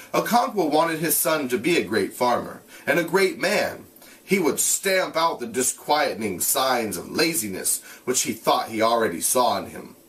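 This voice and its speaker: A man reads a story aloud as a teacher would, at a medium pitch. His intonation is very animated, and he sits a little away from the mic. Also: recorded from a distance, poor audio. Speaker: teacher reading a novel aloud. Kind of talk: read-aloud narration with casual explanatory asides.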